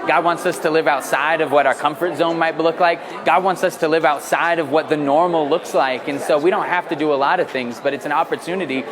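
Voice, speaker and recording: A man's voice: a little high, steady tone, brisk, hardly any pauses, a crowd in the background. A young man attending a conference, answering an interview in an earnest way and filling gaps with "like" and "uh". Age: young adult. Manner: earnest, with fillers like "like" and "uh".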